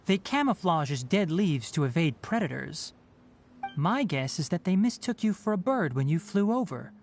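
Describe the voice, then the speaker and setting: A woman's voice: on the low side, darkish tone, a little hiss or hum. A woman playing a youthful male forest ranger in a video game, knowledgeable and practical, with a dry, instructive manner. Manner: instructive, matter-of-fact, dry. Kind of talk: video game character voice acting.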